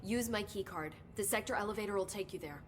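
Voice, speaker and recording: A woman playing an earnest, helpful government researcher in a video game, explaining things in a thoughtful, measured way. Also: medium pitch, a little hiss or hum, lightly acted. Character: earnest, helpful female government researcher sharing case findings. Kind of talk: naturalistic video game acting.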